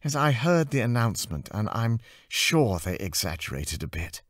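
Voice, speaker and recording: A man's voice: on the low side, sing-song, normal speed, fairly bright tone, no echo, clean studio sound, voice pushed up. A man voicing a tired, polite woman artist under pressure in an audiobook, hesitant and restrained. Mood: strained.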